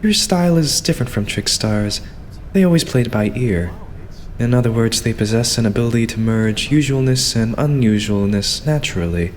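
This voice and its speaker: A man playing a young idol butler in an anime-style fan dub, formal and courteous with a quietly condescending edge. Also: medium pitch, expressive, slight background noise, close to an everyday voice. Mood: politely condescending. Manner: formal, courteous, measured, servile phrasing.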